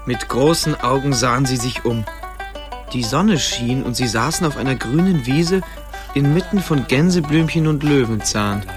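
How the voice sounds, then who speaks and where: A man's voice: medium pitch, moderate pace, music in the background. A man narrating a story as scripted narration for a German radio play.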